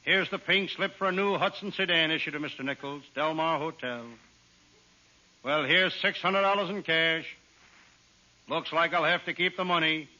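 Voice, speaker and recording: A deadpan wisecracking private eye. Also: male voice, a little high, normal speed. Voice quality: deadpan punchline delivery. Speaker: comic detective narrator. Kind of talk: 1940s radio comedy acting. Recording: dull old radio transcription with hiss.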